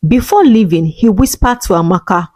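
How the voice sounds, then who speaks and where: Female narrator for dramatic scripted fiction, medium pitch, bone-dry studio-style sound. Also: lively intonation, normal speed, warm tone, no echo. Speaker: story narrator.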